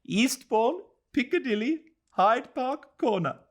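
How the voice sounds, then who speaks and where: A man's voice: very high voice, lively intonation, slow pace, voice pushed up, exaggerated voice. A man voicing a shy, agreeable lady in an audiobook, with brief gracious phrases. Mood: shyly proud.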